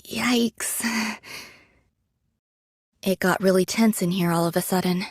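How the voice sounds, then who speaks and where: An actress playing a rank-and-file android soldier in a game drama, frank and earnest, admiring yet reproachful. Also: female voice, on the low side, slow pace, no echo, studio quality. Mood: wry.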